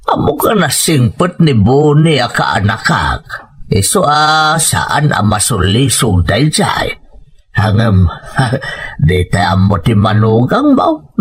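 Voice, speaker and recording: Man acting a husky, slow, nosy uncle. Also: medium pitch, exaggerated voice. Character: nosy, gossiping uncle who sows doubt. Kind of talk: Ilocano radio drama acting.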